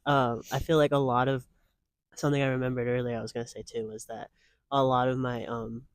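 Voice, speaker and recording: A man's voice: mid-range voice, flat delivery, fairly quick, clear, resonant tone, dry recording. A man of twenty-five answering interview questions in a casual, earnest way, rambling with lots of "like" fillers. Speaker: guest.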